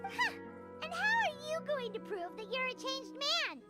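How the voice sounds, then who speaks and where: An actress voicing an excitable, theatrical young woman with sweeping dramatic inflections, in a video game. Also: very high voice, measured pace, over music, exaggerated voice. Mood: excited.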